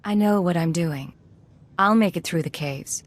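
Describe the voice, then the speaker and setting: A female intelligence agent with a lowish pitch, played by a woman in a video game. She speaks in short, controlled lines and stays composed and principled. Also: normal speed, lightly acted. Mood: composed, assured. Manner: cool, concise, controlled.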